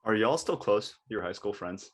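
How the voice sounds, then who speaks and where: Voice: mid-range voice, lively intonation, moderate pace. A young adult panelist chatting casually, asking questions in an informal, inquisitive way. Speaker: panelist in an online discussion. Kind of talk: casual chat.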